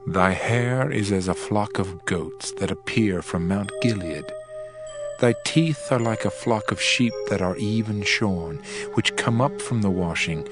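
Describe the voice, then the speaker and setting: A man playing an adoring bridegroom king in a dramatized scripture reading, solemn, tender and deliberate. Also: fairly low pitch, lightly acted. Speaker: actor reading dramatized audio Bible. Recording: music bed behind the voice.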